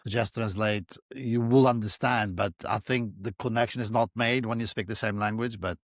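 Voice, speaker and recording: A male podcast host asks easy questions and backs his guest with short affirmations. His voice is on the low side. It is recorded close and dry. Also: fairly even intonation, moderate pace, no room sound. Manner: supportive listener, brief affirmations. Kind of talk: casual interview.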